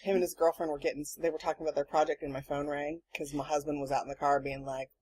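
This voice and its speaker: A woman hosting a podcast in casual chat, telling rambling stories in an animated, sweary way. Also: low-pitched, quick pace, low-quality recording. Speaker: podcast host.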